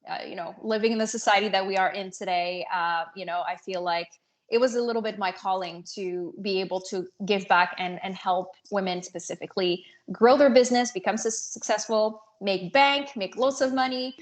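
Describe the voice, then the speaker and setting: A woman answering casually as an interview guest, earnest and somewhat halting as she searches for words. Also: mid-range voice. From North Africa and France. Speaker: podcast guest, business coach.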